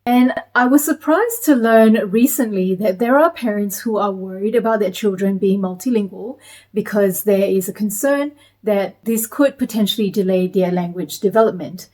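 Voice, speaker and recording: A woman in her thirties from Malaysia, talking to camera in a measured, explanatory way as a parenting vlogger. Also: medium pitch, darkish tone. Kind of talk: scripted talk to camera.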